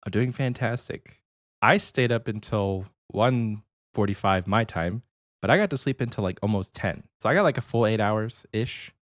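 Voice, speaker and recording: Podcast co-host with a cold, slightly nasal man, low-pitched, rambling easy chat, dry close sound. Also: moderate pace, no room sound. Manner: rambling, easygoing, many restarts.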